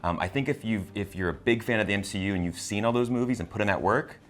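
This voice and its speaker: A man with a low-pitched voice talks through a film review as co-host. His delivery is casual and conversational, often doubling back on words and phrases while he works out the thought. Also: quick pace. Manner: hesitant, repeats words and phrases as he goes. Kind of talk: casual spoiler review chat.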